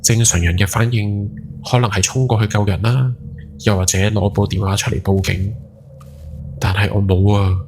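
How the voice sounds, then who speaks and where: A man's voice: fairly low pitch, steady tone, moderate pace, darkish tone, slight background noise, lightly acted. A man playing a guilt-ridden night taxi driver in his forties, telling a horror story in Hong Kong Cantonese with brooding, dramatic emphasis. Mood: haunted, remorseful. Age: 40s. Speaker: ghost story podcast narrator. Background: background music.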